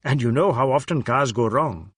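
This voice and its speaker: An earnest Indian man of the storybook kind, acted out by a male reader, explains his troubles at length. He is talkative and matter-of-fact in his complaints. The voice sits at a middle pitch in a very dry recording. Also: expressive, moderate pace, a bit bright, slightly rough, no echo. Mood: earnest complaining. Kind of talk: audiobook character dialogue.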